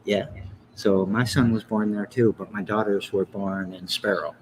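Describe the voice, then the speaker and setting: Low-voiced man rambling about his life. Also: steady tone, moderate pace, slight background noise, poor audio. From Lansing, Michigan. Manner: rambling, self-correcting, chuckling. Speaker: podcast host. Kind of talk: casual interview chat.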